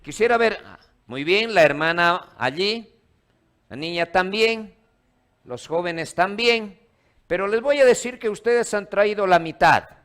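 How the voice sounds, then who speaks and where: A man's voice: high voice, lively intonation, measured pace, a bit bright. A man from Ecuador, a pastor preaching a sermon in a church and quizzing the congregation in call-and-response. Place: church hall.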